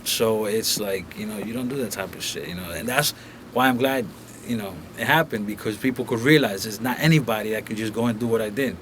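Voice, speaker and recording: A man's voice: on the low side, brisk, a bit bright, a little hiss or hum. A young man from Colombia talking casually and bluntly as an interview guest in a recording studio, peppering his speech with profanity and filler phrases.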